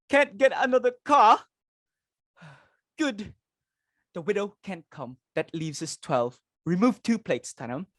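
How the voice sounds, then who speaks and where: A woman's voice: medium pitch, dry recording, studio quality, pitched above the performer's own voice. A woman playing a fussy, social-climbing society hostess and mother, exclaiming, fretting and giving orders in a dramatic reading. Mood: dramatic dismay. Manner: exclamatory, fretful, commanding.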